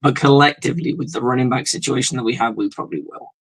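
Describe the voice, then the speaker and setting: A male host with a hoarse voice, giving a blunt, profane, rambling fan's reaction in a casual sports monologue. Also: medium pitch, quick pace, rough recording.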